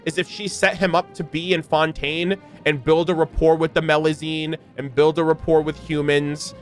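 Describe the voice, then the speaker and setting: A man with a fairly high voice talks over faint background music in a casual reaction style. He is enthusiastic and slangy, moving between reading lore text aloud and commenting on it as a content creator. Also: little pitch movement, moderate pace.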